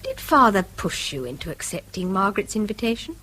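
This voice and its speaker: An actress playing a weary, self-deprecating middle-aged English wife in a radio drama, with a dry, exclamatory delivery. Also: female voice, medium pitch, big pitch swings, fairly quick, fairly bright tone, slight background noise, rough recording. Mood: anxious, practical. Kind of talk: British radio drama acting.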